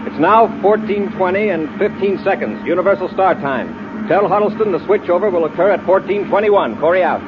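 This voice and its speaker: Brisk military space commander, male actor, highish pitch, crisp authority, vintage radio drama, band-limited noisy recording. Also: highs cut off, music in the background, lightly acted. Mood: brisk, commanding. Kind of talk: 1950s radio adventure acting.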